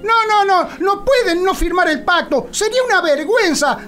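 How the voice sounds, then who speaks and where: A muddled small-time Argentine politician with an extremely high voice. The figure stammers, repeats and pleads dimly through a satirical radio sketch. Music plays clearly underneath. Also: lively intonation, normal speed, fairly bright tone. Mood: insistent, pleading. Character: dim, pleading, muddled politician nicknamed Colo, from La Pampa.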